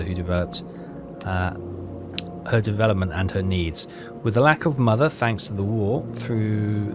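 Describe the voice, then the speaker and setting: A man with a fairly low voice talks through a game walkthrough. He reads text aloud and thinks as he goes, in a halting, casual way. Music plays clearly behind him. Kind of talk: casual let's play commentary, reading game text aloud.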